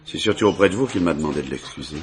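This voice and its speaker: An actor playing a polite, tactful friend of the family in a French radio mystery play, courteous and measured. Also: male voice, rumbling low, animated, a little hiss or hum. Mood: soothing.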